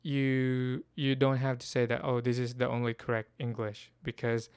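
A man's voice: mid-range voice, completely flat delivery, moderate pace, darkish tone, smooth, no room sound. A young adult man hosting a podcast, talking casually and thinking aloud with frequent restarts and self-corrections. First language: not Korean. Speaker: podcast host.

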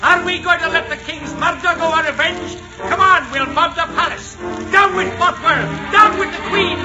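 Loud music surrounds an extremely high-pitched, frenzied agitator on a muffled old radio recording. He cries out in declamatory bursts, vengeful and inciting, in 1940s drama style. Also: normal speed. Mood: furious. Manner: shouted, declamatory exclamations. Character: frenzied rabble-rouser inciting a vengeful Scottish mob.

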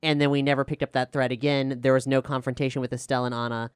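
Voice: medium pitch, level tone, fast, clear, resonant tone, dry recording, clean studio sound. A podcast showrunner explaining things in an emphatic, casually sweary way during a behind-the-scenes discussion.

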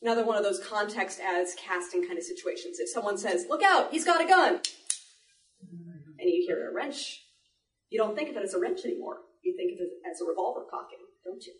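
A woman's voice: mid-range voice, animated, fairly quick. Woman presenting in an echoey room, off-mic. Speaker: panel presenter, sound effects artist. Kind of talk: live demonstration talk to an audience. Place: indoor library panel before an audience. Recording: echoey room, distant microphone.

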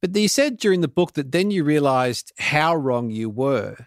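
A man's voice: on the high side, very animated, normal speed, no room sound, clean studio sound. A man hosting a podcast, asking curious, thoughtful questions in a conversational way. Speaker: podcast host. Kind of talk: conversational interview.

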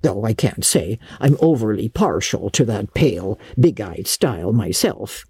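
A woman's voice: extremely low pitch, animated, a little slow, warm tone, breathy edge, no room sound, pitched below the performer's own voice, exaggerated voice. A woman voicing a blunt, opinionated older country woman with folksy, emphatic speech in a storybook reading.

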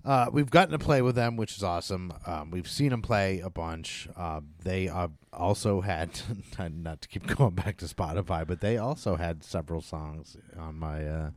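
A man's voice: low-pitched, normal speed, hardly any pauses, no room sound. A man hosting a podcast, chatting casually and enthusiastically in an informal way, as a musician in a band. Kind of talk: casual chat about local bands.

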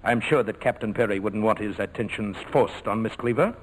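An actor playing a smooth, menacing crime boss in 1940s radio drama style, mock-polite and coolly amused. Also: male voice, lowish voice, close to an everyday voice. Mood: smug menace. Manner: suave, mock-polite, unhurried threats. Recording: noisy vintage 1940s radio recording.

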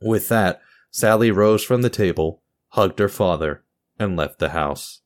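A man's voice: low-pitched, measured pace. A man reading scripted, dramatic story narration as the narrator and host of an audio drama. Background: music.

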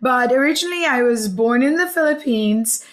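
A woman's voice: sits high, expressive, normal speed. A woman in her forties, from Hong Kong, chatting enthusiastically as a podcast guest and telling her life story with frequent "like" and "um". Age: 40s. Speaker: podcast guest, healing coach. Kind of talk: conversational storytelling interview.

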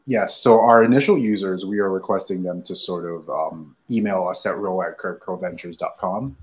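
A man, a startup founder answering interview questions in a measured way with many fillers. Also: low voice. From Nigeria.

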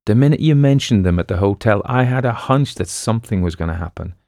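A man's voice: on the low side, lively intonation, quick pace, vocal fry, darkish tone, dry recording, studio quality, pitched above the performer's own voice. A man voicing a con man's jumpy, grumbling sidekick who talks in slang, in an audiobook story. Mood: alarmed grumbling.

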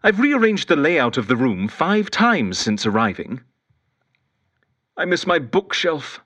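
A man's voice: sits high, fairly bright tone. An actor playing a lonely, eccentric male detective who rambles fussily and whimsically into an audio diary in an audio drama. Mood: bored, wistful. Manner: fussy, whimsical, wistful, digressive. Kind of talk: audio drama monologue as spoken journal.

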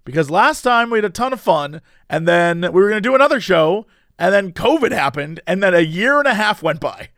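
A man with a high-pitched voice co-hosts a comedy podcast in a loose, rambling style. His delivery swings up and down playfully, and the recording is dry and close. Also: big pitch swings, a little fast, fairly bright tone, dry recording. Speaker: podcast co-host. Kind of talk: casual comedic podcast chat.

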